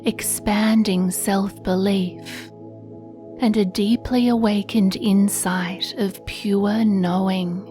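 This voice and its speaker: A woman leads a scripted sleep meditation very slowly, leaving long pauses between phrases. Her voice is mellow and relaxed, soothing and hushed, with a breathy edge. Faint music plays behind her. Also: mid-range voice. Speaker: meditation guide.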